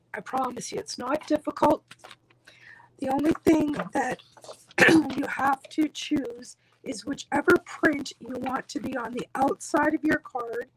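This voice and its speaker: A hoarse woman with a croaky voice, walking through a craft tutorial step by step in a reassuring, casual way. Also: high voice, no echo, rough recording. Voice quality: croaky, husky. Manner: reassuring, instructional. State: hoarse, losing her voice. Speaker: craft tutorial host, demonstrator.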